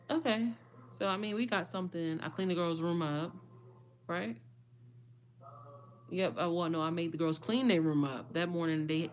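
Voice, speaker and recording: A woman, her voice slightly hoarse, chatting casually and rambling to viewers on a livestream. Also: deep voice, dull audio. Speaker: vlogger.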